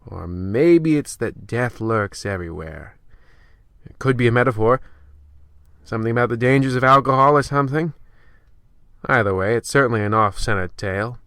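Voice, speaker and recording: A man's voice: medium pitch, moderate pace, long pauses, fairly bright tone, dry recording, studio quality, lightly acted. A man playing a smug, rambling host of an old-time horror radio show, hammy and pleased with himself. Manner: hammy, self-congratulating, rambling. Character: smug, bumbling horror-anthology radio host, self-satisfied. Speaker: narrator and host.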